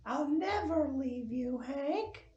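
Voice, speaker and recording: A woman's voice: sits high, expressive, mellow, lo-fi sound, a little hiss or hum. A woman playing a possessive, sweetly menacing undead bride in a horror script read, drawing out her vows. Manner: slow, drawn-out vow recital. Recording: echoey room.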